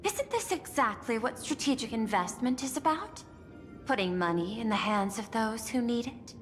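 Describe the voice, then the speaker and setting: A woman's voice: fairly high pitch, lively intonation, lightly acted. Woman playing a composed, calculating executive in reverb. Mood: coolly bargaining. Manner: measured, formal, detached. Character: composed, calculating female machine-minded corporate chief executive. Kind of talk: video game voice acting. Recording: long reverb, faint music behind.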